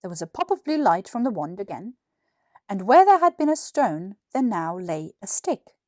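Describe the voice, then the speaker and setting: British female storyteller, twenties, scripted children's narration, lively intonation, dry sound. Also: medium pitch, normal speed, no room sound. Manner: clearly articulated, animated. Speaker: actor reading a children's story. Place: small furnished room indoors. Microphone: lapel mic.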